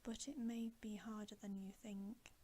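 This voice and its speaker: A woman voicing a great, calm, royal male lion in a soft, grave whisper for a bedtime storybook. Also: medium pitch, measured pace, close to an everyday voice. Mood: solemn. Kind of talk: bedtime ASMR storybook reading.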